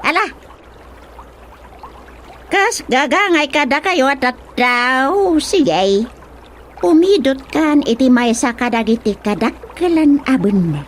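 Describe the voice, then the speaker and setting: A woman's voice: high voice, lively intonation, takes it slowly, pauses often. A woman playing a playful, commanding talking crocodile in a radio drama, drawing out her words theatrically. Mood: grand, inviting. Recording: clear background noise, water ambience.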